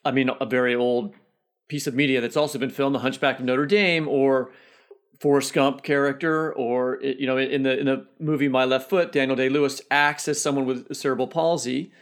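A man's voice: medium pitch, fairly even intonation, moderate pace. A man hosting a conversational interview podcast, building long, wandering questions with frequent restarts.

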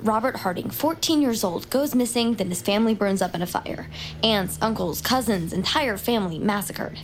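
A woman's voice: medium pitch, normal speed, slight background noise, natural voice, poor audio. A woman playing a driven, inquisitive young crime survivor in an audio drama, laying out facts with intent emphasis. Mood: intent, urgent. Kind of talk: naturalistic audio drama acting.

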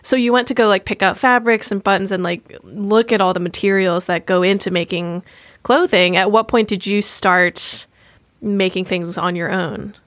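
A woman's voice: medium pitch, fairly even intonation, normal speed, fairly bright tone, no room sound. Encouraging woman interviewer, dry sound. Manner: encouraging, laughs and backchannels. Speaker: host.

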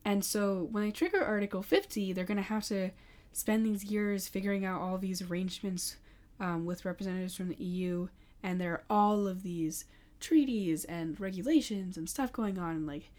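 A young woman with an American accent, co-hosting a podcast in quick, opinionated casual chat full of "like" fillers. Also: mid-range voice, a little mellow. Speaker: podcast co-host.

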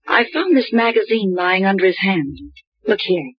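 Husky, earnest detective's client. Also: female voice, mid-range voice, animated, moderate pace, no echo. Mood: urgent. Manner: urgent, earnest, pleading. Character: earnest, pleading woman client, loyal protective sister. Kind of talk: 1940s radio drama acting. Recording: dull old radio recording.

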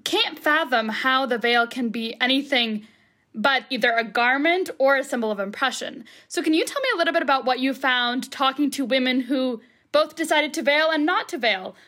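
A woman's voice: high voice, normal speed, bright, forceful tone. A young woman from Egypt, a podcast producer, interviewing a guest in an earnest, polite and thoughtful way. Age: young adult.

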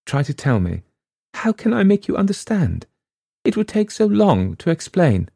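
A man voicing a frightened, trembling young woman who speaks in breathless, hurried pleas. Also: mid-range voice, very animated, vocal fry, mellow, no room sound, clean studio sound, pitched above the performer's own voice. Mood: pleading.

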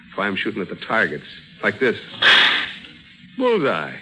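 An actor playing a menacing, boastful gangster killer in 1940s radio crime drama style. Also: male voice, lowish voice, wildly swooping pitch, a little slow, pushed and bright, soft background music, full character voice, rough recording. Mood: gloating. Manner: taunting, boastful. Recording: vintage 1940s radio broadcast.

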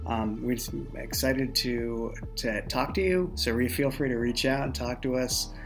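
A man's voice: mid-range voice, unhurried, a bit bright, soft background music. A man talking casually and with enthusiasm as a show creator on a podcast. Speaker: audio drama creator and writer.

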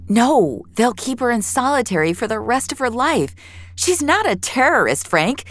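A woman's voice: a little high, very animated, normal speed, pitched above the performer's own voice. A woman acting a defiant, wounded fugitive woman in an audio drama. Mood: desperate, angry. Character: defiant, wounded woman on the run, dream-eraser.

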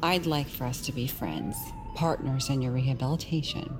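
An actress playing a calmly menacing asylum doctor in an audio drama, measured, clinical and falsely friendly, with music behind her. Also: very deep voice. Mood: gently chiding. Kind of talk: audio fiction acting.

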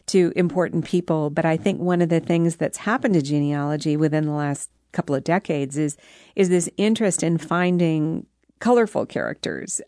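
A woman's voice: low voice, darkish tone, no echo, clean studio sound. A woman speaking as an author being interviewed, explaining things in a thoughtful, articulate, conversational way.

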